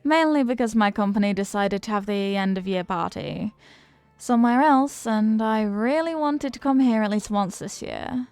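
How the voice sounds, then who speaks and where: A woman's voice: medium pitch, normal speed, darkish tone, smooth, no room sound, lightly acted. A woman playing a flirty, confident, playful stranger in an intimate roleplay, with a teasing, coy, sweet-talking manner. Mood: chatty, charmed. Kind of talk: intimate roleplay audio acting.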